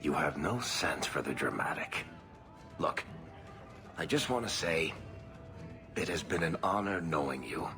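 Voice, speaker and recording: A man's voice: extremely low pitch, lively intonation, measured pace, pauses often, music in the background. A man voicing a wry, loyal dwarf rogue and storyteller in a video game cutscene, with a dry, sardonic manner. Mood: wry, musing.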